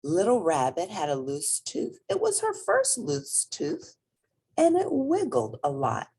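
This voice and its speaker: Low, husky, very expressive woman storyteller. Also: unhurried. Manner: addresses young listeners directly. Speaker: storybook reader. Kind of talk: expressive children's read-aloud.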